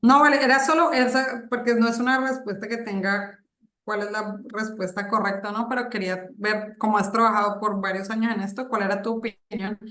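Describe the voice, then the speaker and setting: A woman's voice: medium pitch, a bit bright. A woman on a thesis jury asking questions, hesitant and full of fillers and restarts. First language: Spanish. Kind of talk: academic questioning at a thesis defense. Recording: echoey room.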